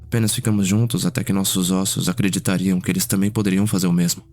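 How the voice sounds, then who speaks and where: Low-voiced Brazilian man as a solemn vampire storyteller. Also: flat delivery, darkish tone, soft background music, lightly acted. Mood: tender, reflective. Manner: measured, solemn, courteous. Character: welcoming, reflective male vampire telling his clan's history. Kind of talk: ASMR roleplay storytelling.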